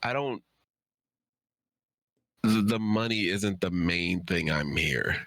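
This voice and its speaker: A man's voice: sits low, slow pace, a bit bright, no room sound, studio quality. A man speaking as an author guesting on a live stream, chatting casually and candidly, with emphatic repetition. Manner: candid, emphatic, repeats himself. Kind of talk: casual chat.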